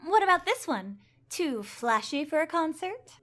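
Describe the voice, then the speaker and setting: A woman's voice: high voice, big pitch swings, unhurried, a bit bright, slight background noise, heavily acted. A woman playing a chatty, nosy and teasing young best friend in an audio drama. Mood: cheerful, chatty.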